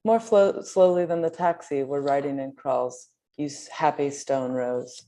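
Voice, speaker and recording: A woman with an exceptionally low, mellow voice reads translated poetry aloud. Her tone is dark and relaxed, in the style of a literary reading. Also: measured pace.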